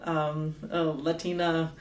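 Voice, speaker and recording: A woman's voice: deep voice, little pitch movement, slow. A middle-aged woman chats as an interview guest, laughing often and filling her sentences with ums and likes. Her voice sits in a roomy, echoing space. She is heard at some distance from the mic. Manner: chatty, laughs often, many fillers. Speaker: guest, advocate and author. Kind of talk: casual interview conversation.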